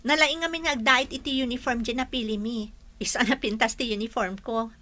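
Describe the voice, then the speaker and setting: Young Filipina trainee teacher for audio drama, played by a woman: sincere, crisp forward tone, fairly high. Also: steady tone, brisk, sharp tone. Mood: grateful. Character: earnest, grateful young female student teacher. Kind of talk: Ilocano audio drama acting.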